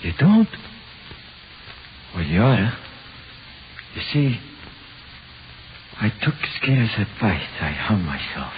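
A man's voice: on the low side, very animated, slow, long pauses. An actor playing a resigned, haunted American hoodlum in slangy tough-guy talk, in 1940s radio horror style. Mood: bitter, eerie. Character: condemned hoodlum, resigned and haunted, telling his ghost story. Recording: muffled, hissy 1940s radio recording.

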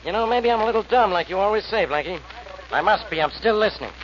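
Casting: gruff, sarcastic American cop, highish rapid voice, old radio crime show, faint hiss. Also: male voice, expressive. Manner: blustery, impatient, sarcastic. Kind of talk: 1940s radio acting. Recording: muffled, noisy old radio recording.

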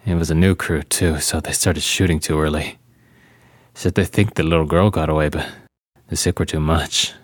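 Audio drama role: grim male guard, deep-voiced, clipped phrasing, recorded dry. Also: steady tone, normal speed, no room sound. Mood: grave. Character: grim male camp guard reporting bad news. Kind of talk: naturalistic audio drama acting.

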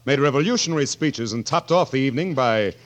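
A man's voice: medium pitch, animated, fast talker, natural voice. An actor in a 1940s radio drama playing a wily, sardonic king of France who speaks in a musing, regal, hesitant way. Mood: stern. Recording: vintage 1940s radio broadcast.

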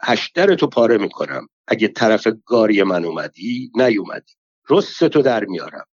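A man playing a gruff male cart driver who talks in a rough, blunt, threatening way, on a slightly dull recording. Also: on the low side, sing-song, dry recording.

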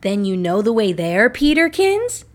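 A woman's voice: medium pitch, very animated, measured pace, pitched above the performer's own voice. A woman voicing a caring, earnest rag doll girl, worried but brave, in a children's storybook reading. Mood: eager, determined.

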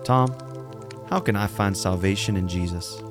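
A man's voice: deep voice, normal speed, faint music behind, lightly acted. A man playing a friendly, earnest neighbor in an audio drama. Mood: earnest, searching. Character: friendly, folksy Southern male neighbor, curious and earnest. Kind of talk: church audio drama acting.

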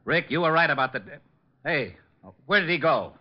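A man playing a gruff, impatient police lieutenant, brusque and exasperated, in 1940s radio style. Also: on the high side, lively intonation, moderate pace. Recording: muffled vintage radio recording.

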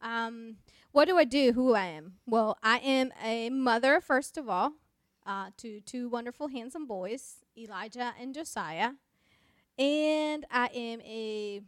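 A woman in her thirties from Mexico, Spanish her first language, speaks English with a light accent. She chats warmly and passionately, laughing often. The sound is close and dry. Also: a little high, no room sound. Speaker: podcast co-host, pastor. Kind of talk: casual chat.

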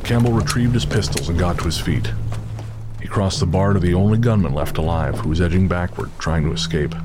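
A man narrating a scripted horror audio drama in a measured, steady way. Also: sits low, noisy background. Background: music.

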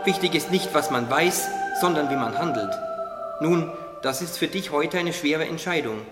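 A man's voice: fairly high pitch, moderate pace, close to an everyday voice. A man playing a wise, encouraging little caterpillar in a German radio play. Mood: solemn, encouraging. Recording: echoey hall, music underneath.

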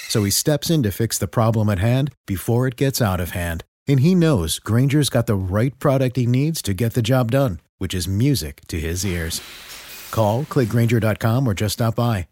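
A man's voice: medium pitch, darkish tone, slight background noise. A man reading scripted commercial narration as an announcer. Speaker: advertisement announcer.

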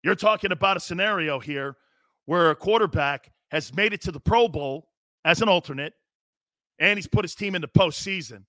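A high-voiced male sports talk host with a bright, forceful tone. He speaks emphatically, reading out listener messages and chatting with a guest. The sound is close and dry from a studio. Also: moderate pace, no echo. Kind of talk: sports talk radio hosting.

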